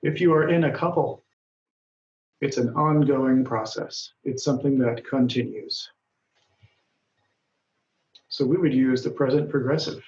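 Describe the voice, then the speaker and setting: A man teaching an English grammar lesson, speaking slowly and deliberately, and raising his voice when he reads example dialogues aloud. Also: medium pitch, darkish tone. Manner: patient, deliberate, lifts his voice when reading quoted lines. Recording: dull, roomy sound from a distance.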